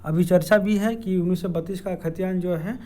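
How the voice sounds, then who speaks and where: A man with a Jharkhand accent giving an informal explainer in a halting, hesitant way, with many filled pauses and repeated words. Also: high-pitched, fairly even intonation, warm tone. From Dumka district, Jharkhand, India. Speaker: educational video creator. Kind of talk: informal explainer with screen walkthrough.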